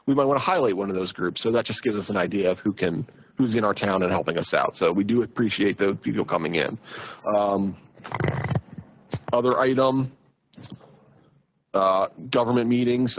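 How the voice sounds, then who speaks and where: A man, a borough official, giving a plain, conversational report at a council meeting, with frequent fillers and a loud voice. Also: medium pitch.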